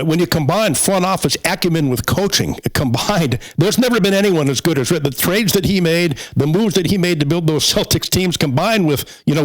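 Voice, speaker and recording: Mężczyzna o dość wysokim głosie, gospodarz podcastu. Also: male voice, a little high, low-quality recording. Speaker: podcast host. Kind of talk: casual sports talk, ranking a list.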